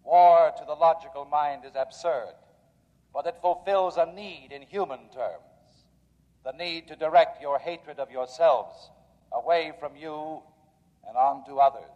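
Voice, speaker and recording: Male actor for calm robot leader, 1950s sci-fi radio. Formal, didactic, dull vintage recording with hall reverb. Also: a little high, a little slow, close to an everyday voice. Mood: coolly explaining. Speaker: robot council chairman. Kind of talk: 1950s radio drama acting.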